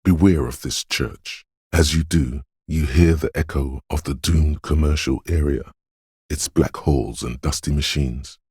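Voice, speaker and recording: A man voicing an eerie, brooding inner voice of intuition and dread in a game, narrating in the second person with a deliberate, portentous, theatrical delivery. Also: rumbling low, steady tone, dark tone, breathy edge, no echo, studio quality, exaggerated voice. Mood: ominous, mystical.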